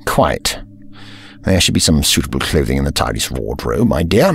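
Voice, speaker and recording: Male actor as haughty eccentric time traveller, pompous, condescending, scolding, lively pitch, audio drama. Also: normal speed, vocal fry, a little hiss or hum, exaggerated voice. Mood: haughty, dismissive.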